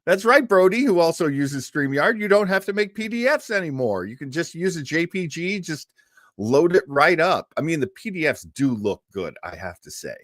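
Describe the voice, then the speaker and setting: A man's voice: fairly high pitch, sing-song, moderate pace, a bit bright, no echo, clean studio sound. A man hosting an old-time radio livestream in a casual, rambling, jokey way, chatting to his viewers. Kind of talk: casual livestream chat and introduction.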